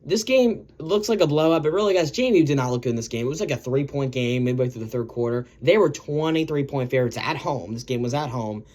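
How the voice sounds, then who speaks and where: Slightly hoarse man rambling about football. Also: mid-range voice, expressive, quick pace, hardly any pauses, a little mellow. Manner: rambling, self-correcting, lots of "guys" and "um". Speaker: sports video host. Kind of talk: casual sports recap commentary.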